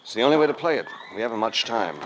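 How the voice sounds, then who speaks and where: An actor playing a cool, commanding investigator in an old radio mystery, with terse and authoritative delivery. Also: male voice, mid-range voice, expressive, racing, fairly bright tone, slight background noise, close to an everyday voice, low-quality recording. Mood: urgent. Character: cool, commanding male investigator, hard-boiled and shrewd. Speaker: lead actor and narrator. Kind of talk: 1940s-50s radio detective acting. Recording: vintage radio drama recording.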